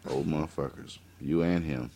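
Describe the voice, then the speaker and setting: A man around thirty in a crude casual chat, profane and teasing, firing off quick wisecracks. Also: very deep voice, steady tone, warm tone, slight background noise. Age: 30s.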